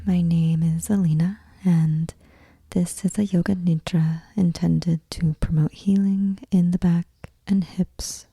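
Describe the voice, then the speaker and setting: A woman guiding a meditation in a soft, breathy near-whisper, with soothing, measured delivery. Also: on the low side, steady tone, no echo. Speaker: yoga nidra meditation guide. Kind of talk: guided meditation.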